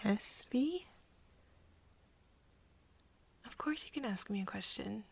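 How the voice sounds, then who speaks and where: A woman playing a caring, flustered young woman in an ASMR roleplay, with a soft, breathy voice and a tender, stammering manner. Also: medium pitch, expressive, normal speed, no echo. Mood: gentle, sheepish. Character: caring, flustered young woman soothing her vampire friend.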